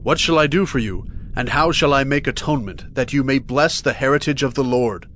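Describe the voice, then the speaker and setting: Man acting earnest, mid-pitched ancient king. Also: fairly quick, a bit bright, slight background noise, lightly acted. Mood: earnest, grave. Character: earnest, grave male king seeking atonement. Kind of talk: dramatized scripture acting.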